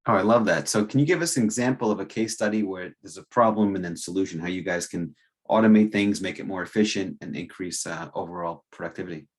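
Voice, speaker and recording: A man's voice: low voice, fast. A man hosting an interview show who asks questions and reacts with enthusiastic, encouraging remarks.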